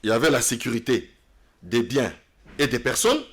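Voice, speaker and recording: A man's voice: medium pitch, animated, moderate pace, fairly bright tone, slightly rough. A man with a Congolese French accent answering interview questions, switching between French and Lingala, often restarting his sentences. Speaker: politician guest.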